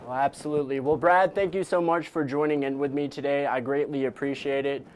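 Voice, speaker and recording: A man's voice: mid-range voice, steady tone, brisk, darkish tone, a little hiss or hum, poor audio. A man hosting a conversational live news interview as a digital presenter.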